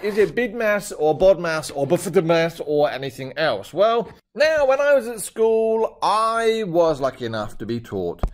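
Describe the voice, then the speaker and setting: A man in his fifties with a British accent that is drifting toward Australian, teaching a maths lesson over a screen recording with jokey, chatty asides. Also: a little high. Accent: British, shifting toward Australian. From Britain.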